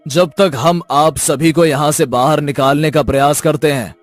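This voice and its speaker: Man acting an alarmed, higher-voiced cartoon villager. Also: steady tone, normal speed, no echo, soft background music, natural voice. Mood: urgent. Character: alarmed, distrustful village men in a flood. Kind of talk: dramatic cartoon dialogue.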